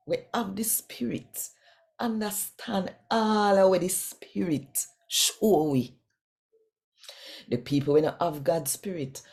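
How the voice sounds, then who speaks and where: Casting: Jamaican woman, scripture reader, low creaky voice, expressive and emphatic delivery. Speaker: scripture reader and host of a daily reading.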